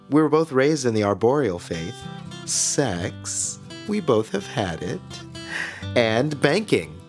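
An actor playing a relentlessly cheerful, eerie small-town radio host in a scripted monologue, theatrical and drawn-out, with a smile in his delivery. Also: medium pitch, close to an everyday voice. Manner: theatrical, smiling, deliberately drawn-out. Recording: music behind the voice.

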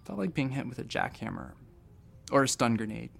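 A rambly space doctor in a scifi podcast drama, dry and hesitant, bit of fry in the voice, soft music under. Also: male voice, medium pitch, big pitch swings, brisk, full character voice. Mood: dazed, wry. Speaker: narrator and lead character. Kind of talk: audio drama narration and dialogue.

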